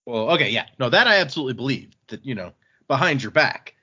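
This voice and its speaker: A man's voice: mid-range voice, animated, quick pace, pushed and bright, breathy edge, highs cut off. A man hosting a casual book podcast, recapping the story conversationally and loosely voicing characters' lines, with some swearing. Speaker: podcast host recapping a novel.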